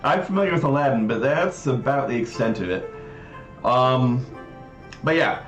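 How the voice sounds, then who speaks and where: A man presenting casually on a livestream, telling and explaining stories in an animated, amused way. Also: medium pitch. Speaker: presenter of a rakugo translation project. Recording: roomy echo, faint music underneath.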